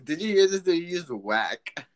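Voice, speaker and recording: A man in his twenties co-hosting a casual podcast chat, rambling and restarting his sentences, getting excited. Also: fairly high pitch, moderate pace, a little hiss or hum. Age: 20s. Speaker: podcast co-host.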